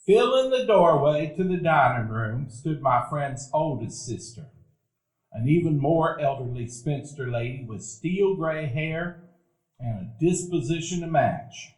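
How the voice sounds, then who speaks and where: Reflective male narrator, slightly high. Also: lively intonation, a little slow, a little mellow. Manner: reflective storytelling. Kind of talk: live radio play narration. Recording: echoey live theater hall.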